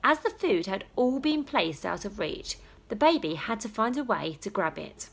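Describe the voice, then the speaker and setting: A woman reading a children's story aloud, with dramatic pauses and drawn-out emphasis. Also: mid-range voice, sing-song, gravelly, fairly bright tone, husky. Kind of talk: animated children's story narration.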